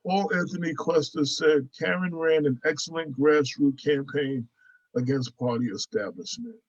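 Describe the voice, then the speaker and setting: A male radio host asks questions haltingly and reads out listener comments. His mid-pitched voice sounds dry and close, with a dull, lo-fi quality. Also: no echo. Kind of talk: interview questions.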